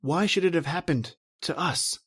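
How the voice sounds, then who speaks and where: A man's voice: fairly high pitch, normal speed, a bit bright, slightly rough. An actor playing an anguished married man trapped in prehistoric time, telling his horror in melodramatic old-time radio style. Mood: subdued, despairing. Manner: melodramatic, intense, repetitive narration.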